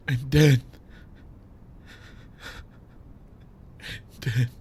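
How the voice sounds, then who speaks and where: A man acting a grieving, unhinged father turned vengeful villain in a dramatic audio monologue, with menacing, anguished delivery and long pauses. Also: medium pitch, vocal fry, darkish tone, slight background noise. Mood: anguished rage.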